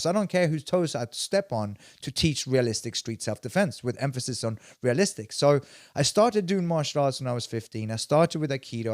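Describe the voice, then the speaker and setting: A man's voice: a little high, a little mellow, no echo, clean studio sound. A man in his forties from Sweden, a martial arts instructor telling his story as a podcast guest in emphatic bursts, often restarting his sentences. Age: 40s. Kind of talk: casual interview storytelling.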